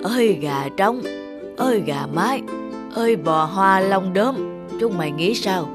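An actor plays an old male hermit in a fairy-tale cartoon. He speaks deliberately and gives orders with authority. Music is clearly heard behind him. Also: medium pitch, expressive, natural voice. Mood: commanding. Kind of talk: animated fairy tale acting.

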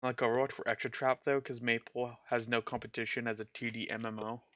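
A man's voice: medium pitch, flat delivery, fast, a bit bright, no echo, muffled recording. A man streaming a game, chatting casually and mocking himself, with a slight stutter at times. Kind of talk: casual chat while playing a game.

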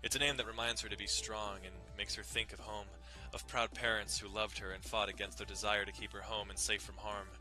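A man's voice: fairly low pitch, flat delivery, brisk, few pauses, sharp tone, faint music behind. A man giving a dramatic scripted narration of a visual novel. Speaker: narrator doing dramatic readings.

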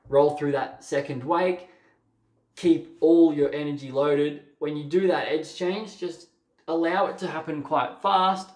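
A young man giving waterski coaching over video, direct and encouraging, often saying "mate" and "sort of". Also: medium pitch. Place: small room with a plain wall. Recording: roomy echo.

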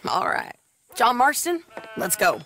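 An actress playing a tough, plain-spoken female bounty hunter with a raspy voice and a rural American accent in a video game. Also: on the high side, wildly swooping pitch, unhurried, sharp tone, slight background noise, exaggerated voice. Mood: businesslike. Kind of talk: video game cutscene acting.

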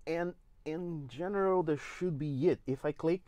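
A man in his forties from Brazil, speaking English with a Brazilian Portuguese accent, walking through a software demo step by step with many "uh"s and restarts. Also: fairly high pitch, a little slow, no room sound. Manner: explains step by step with frequent "uh" and restarts. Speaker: engine evangelist giving a demo. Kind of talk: live software tutorial. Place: indoor studio.

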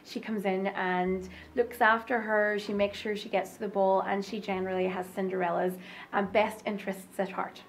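A woman's voice: mid-range voice, little pitch movement, normal speed. A woman in her thirties, a pantomime actress, talking enthusiastically in a backstage interview with some ums. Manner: warm, enthusiastic, with fillers. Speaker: actress playing the fairy godmother. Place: dressing room.

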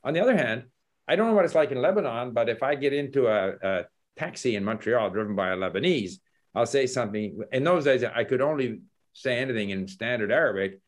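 A man's voice: medium pitch, brisk. An older man telling travel stories in a casual video call from his home study, talking with his hands and chuckling. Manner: animated storytelling with gestures, chuckles. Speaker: language learning host. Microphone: laptop webcam video call.

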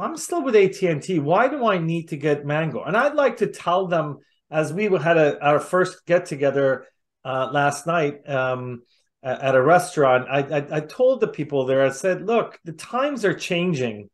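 Halting, higher-voiced male interviewer. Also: expressive. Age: middle-aged. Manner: halting, repeating words as he goes. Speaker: interviewer, host. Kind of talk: casual interview talk. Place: indoors, on a video call.

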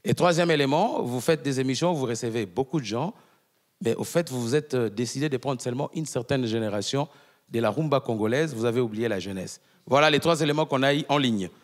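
Man moderating in a reverberant hall. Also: mid-range voice. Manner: reads out listed questions, brisk and businesslike. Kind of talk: moderating questions at a press conference.

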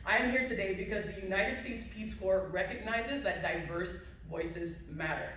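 A woman's voice: mid-range voice, normal speed, a bit bright, a little hiss or hum. A woman giving an emphatic award acceptance speech from a podium, building her points through repetition. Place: large indoor hall at a podium, to a seated audience. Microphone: podium mic, heard from a phone in the audience. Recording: echoey hall from far off.

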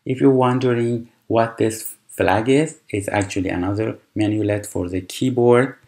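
A man whose first language is Persian, calmly walking through a computer tutorial with fillers and restarts. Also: on the low side. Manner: unhurried, explanatory, with frequent fillers and restarts. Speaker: tutorial presenter. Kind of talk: screen-recorded software tutorial.

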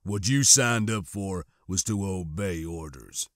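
A man voicing a serious, wary stormtrooper who speaks slowly and firmly in an audiobook. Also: deep voice, fairly bright tone, no room sound, clean studio sound, voice pushed down. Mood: stern warning. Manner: slow, firm, serious. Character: grave, wary male stormtrooper, guarded and cautioning.

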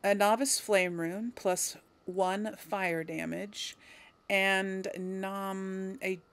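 Slow-paced woman doing let's play commentary. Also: medium pitch, fairly even intonation, a bit bright. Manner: musing, thinking aloud. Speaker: gaming video host and player.

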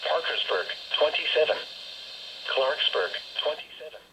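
A man's voice: on the high side, animated, normal speed. Man on a noisy little radio. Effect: small radio speaker. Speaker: weather radio announcer. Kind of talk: weather report readout. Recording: noisy small portable radio speaker.

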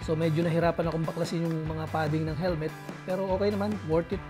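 High-pitched Filipino man vlogging, music behind. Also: level tone, fast talker. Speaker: motovlogger. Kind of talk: casual vlog chat.

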